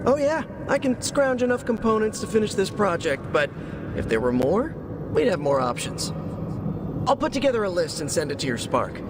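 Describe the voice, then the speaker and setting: An actor playing an eager, geeky young superhero inventor in a video game, friendly and chatty. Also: male voice, high-pitched, very animated, moderate pace. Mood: excited. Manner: enthusiastic, chatty, youthful. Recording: clear background noise, outdoor-like ambience.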